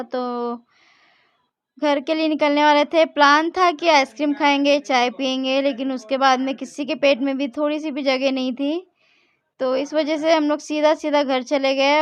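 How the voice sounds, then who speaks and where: A woman's voice: high-pitched, smooth, dry recording. A young woman, chatting casually through her vlog and often correcting herself mid-sentence. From India. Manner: chatty, rambling, frequent self-corrections. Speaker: vlogger.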